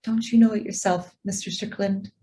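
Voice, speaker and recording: Devoted young secretary in a radio play, acted by a woman; soft-edged mellow tone, earnest, idealistic, lingering on key words. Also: medium pitch, fairly even intonation, normal speed, lightly acted. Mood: earnest, confiding. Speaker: actor. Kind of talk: radio drama acting.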